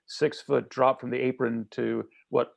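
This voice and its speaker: A man chatting casually and reflectively as a theatre colleague, halting and restarting his phrases as he thinks. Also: medium pitch, flat delivery, gravelly. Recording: slightly dull lo-fi call audio.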